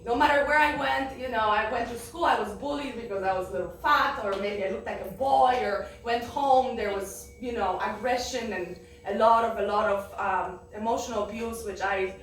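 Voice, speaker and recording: A young woman from Poland tells her life story to a room at a benefit, candid and halting, laughing often. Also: a little high, slight background noise. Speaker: guest speaker. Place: event room. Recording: echoey room from far off.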